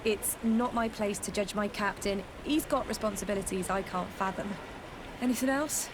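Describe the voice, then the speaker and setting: A woman plays a reserved female sailor in a video game, mid-pitched. She chooses words carefully and respectfully, with noisy outdoor harbour ambience behind her. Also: normal speed, natural voice. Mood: careful, uneasy. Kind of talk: video game dialogue acting.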